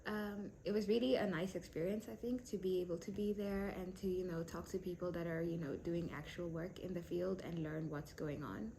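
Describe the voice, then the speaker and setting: A woman's voice: fairly low pitch, steady tone, brisk, creaky, few pauses, mellow, slight background noise, low-quality recording. A young woman from South Sudan, raised in Kenya, answering interview questions thoughtfully with many fillers and restarts. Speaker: PhD candidate.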